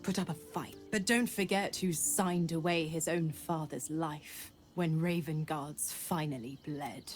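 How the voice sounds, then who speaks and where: A woman playing a smug, menacing devil patron in a video game, mocking and theatrical in a deliberate delivery. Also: low-pitched, fairly even intonation, faint music behind. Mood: scornful, gloating. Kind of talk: dramatic video game acting.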